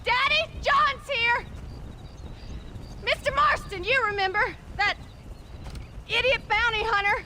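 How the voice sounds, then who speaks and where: A feisty rancher's daughter in a western game, sarcastic and brittle. Her American voice is high and sharp, with faint outdoor noise underneath. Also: slow, pauses often, heavily acted. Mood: brittle cheer. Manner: sarcastic, haughty. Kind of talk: video game western acting.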